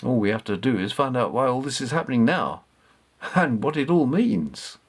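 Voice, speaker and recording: A man voicing a learned, modest old man in a storybook, explaining things in a measured way. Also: mid-range voice, sing-song, husky. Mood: thoughtful, explanatory.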